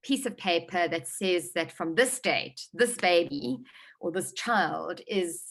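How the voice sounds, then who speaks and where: A woman's voice: fairly low pitch, slow pace, gravelly. A middle-aged woman with a South African accent answering interview questions thoughtfully, with many ums. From Johannesburg, South Africa. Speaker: novelist guest.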